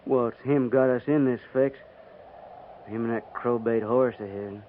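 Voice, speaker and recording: An actor playing a bitter, grumbling cavalry private with a rural American accent and a folksy drawl, in a 1950s radio western. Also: male voice, medium pitch, fairly even intonation, unhurried, lightly acted. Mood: bitter, griping. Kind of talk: 1950s radio drama acting. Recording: tinny, band-limited, noisy 1950s radio recording.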